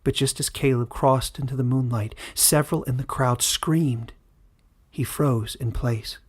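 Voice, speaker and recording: A man narrating a fantasy story in a dramatic, scripted style with measured, suspenseful delivery. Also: medium pitch. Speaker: author and narrator.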